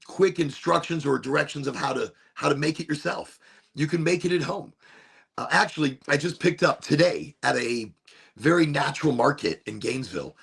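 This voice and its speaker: Hoarse, gravelly man chatting on a livestream. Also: on the high side, a bit bright. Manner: upbeat, rambling, repetitive. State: losing his voice. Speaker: livestream host. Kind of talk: casual livestream chat.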